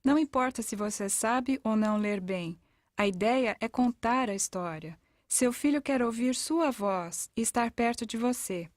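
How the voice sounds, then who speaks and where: A woman's voice: on the high side, a little slow, no echo, studio quality. A woman with a Brazilian accent reading a scripted educational voice-over. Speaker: narrator of an educational parenting video. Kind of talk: scripted voice-over narration, reading testimonials aloud.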